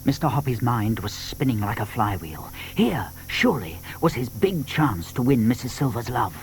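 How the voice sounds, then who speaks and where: A man narrating a children's story in an expressive, dramatic storytelling manner. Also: mid-range voice, normal speed, a little hiss or hum.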